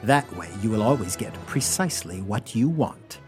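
A man's voice: lowish voice, animated, moderate pace, soft background music, natural voice. A man playing a wry humorist narrator in a comic radio play, telling the story with mock solemnity and dramatic emphasis. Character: wry humorist narrator gently mocking a boy's gifts.

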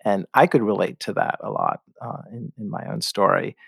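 Male podcast host, gravelly and slightly husky, dry close sound. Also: on the low side, normal speed, no echo. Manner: hesitant, with restarts. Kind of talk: interview questions.

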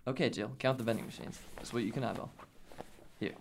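A brisk, gravelly-edged teenage boy played by a man lectures like a self-appointed survival strategist. He is pedantic and matter-of-fact, in a radio drama. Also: mid-range voice, steady tone, a little hiss or hum, natural voice. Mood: brisk, instructive. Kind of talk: audio drama acting.

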